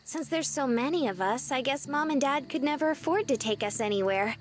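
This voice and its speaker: A high-pitched, cheerful young woman in a visual novel game. She talks candidly and openly, like someone from a big, crowded family. There is faint noise behind the game audio. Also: expressive, fairly quick. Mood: candid, chatty.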